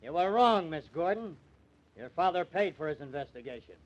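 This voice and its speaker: An actor with an American accent playing a cool, cunning small-town lawyer with a killer's streak in an old-time radio drama. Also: male voice, a little high, expressive, moderate pace, lightly acted. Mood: desperate, gloating. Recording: dull old radio recording.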